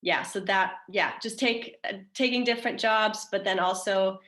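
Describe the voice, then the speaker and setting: A woman's voice: mid-range voice, normal speed, fairly bright tone. A Danish woman in her twenties with an American accent, a marine researcher talking casually as a guest, with many restarts and fillers. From Denmark. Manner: rambling, frequent restarts and fillers. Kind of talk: casual livestream talk.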